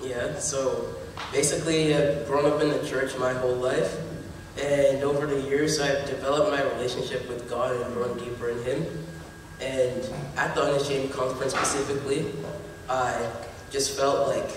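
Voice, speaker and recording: A young man giving his testimony before baptism, earnest and halting with many fillers. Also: medium pitch, flat delivery, a little hiss or hum. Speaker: baptism candidate. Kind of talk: personal testimony. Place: church stage in baptism tank. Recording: echoey hall from far off.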